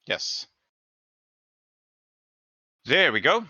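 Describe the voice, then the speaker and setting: A man's voice: mid-range voice, sing-song, very slow, pushed and bright, no echo, lo-fi sound. A man running a tabletop game as game master, narrating and making rulings in a thoughtful, deliberative way.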